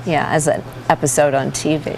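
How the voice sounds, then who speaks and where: A woman's voice: sits low, little pitch movement, a little fast. A woman chatting as an interview guest, agreeable and explanatory in a casual broadcast style. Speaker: interview guest from a community theater group. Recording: studio talk with clear background noise.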